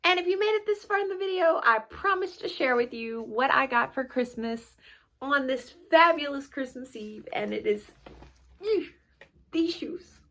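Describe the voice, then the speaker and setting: A woman hosting a read-aloud video, with an expressive, informal and playful way of talking. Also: high-pitched, normal speed. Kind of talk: expressive children's storybook narration and casual chat to viewers.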